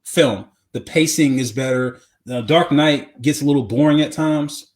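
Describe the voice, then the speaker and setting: A man's voice: medium pitch, a little slow. A man co-hosting a podcast in casual, slangy conversation, often repeating phrases for emphasis. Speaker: podcast co-host. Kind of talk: casual movie chat.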